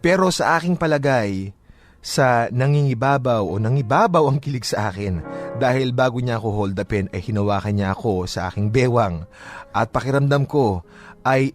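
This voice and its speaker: Filipino man narrating over music. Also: medium pitch. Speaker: radio host reading a listener's letter. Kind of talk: dramatic letter narration.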